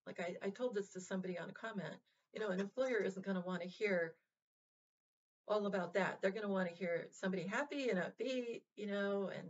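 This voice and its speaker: Gentle, halting woman vlogger, lo-fi sound. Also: medium pitch. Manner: halting, full of fillers and restarts, gentle and reassuring. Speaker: vlog host. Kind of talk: casual heartfelt address to viewers.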